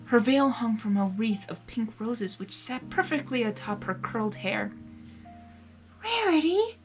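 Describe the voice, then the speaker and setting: A woman reading fan fiction aloud with giggly, excitable asides. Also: on the high side, moderate pace, faint music behind, rough recording. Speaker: fan fiction reader and channel host. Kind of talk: animated blind reading of a story with casual asides.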